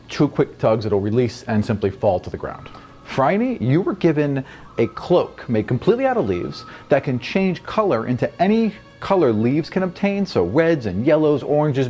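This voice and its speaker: A man's voice: medium pitch, darkish tone. A man working as game master, narrating a tabletop fantasy adventure to his players.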